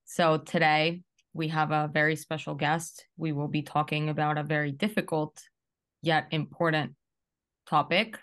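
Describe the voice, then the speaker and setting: A woman co-host with a low, flat voice introducing the show. She speaks slowly and formally as she welcomes her guest, with little rise and fall in her tone. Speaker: podcast co-host.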